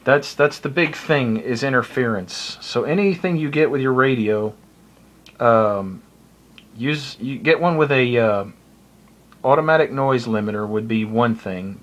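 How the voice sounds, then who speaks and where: A man's voice: mid-range voice, measured pace, fairly bright tone. A man with an American accent giving an informal, unscripted tutorial in a plain-spoken, explanatory and somewhat hesitant way. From United States. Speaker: hobbyist video presenter.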